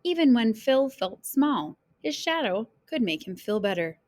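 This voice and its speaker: A woman with a fairly high, very lively voice reads a children's picture book aloud. She takes her time, phrasing each line deliberately and dramatically, as a storyteller does for young listeners. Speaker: read-aloud storyteller, teacher.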